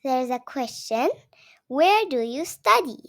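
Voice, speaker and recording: A woman's voice: high voice, expressive, slow, a bit bright. A seven-year-old girl from Gujarat with an Indian accent, reading a story aloud and explaining it simply to viewers like a young teacher. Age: child, seven years old. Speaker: young channel host.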